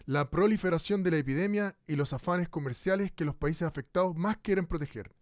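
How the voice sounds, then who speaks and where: Guy doing a radio host on air, bit high voice, hesitant, dry close sound. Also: brisk, no room sound, natural voice. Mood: calm. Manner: hesitant, full of fillers. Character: male radio host broadcasting in a crisis. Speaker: radio host in a future broadcast. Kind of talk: radio drama acting a live talk show.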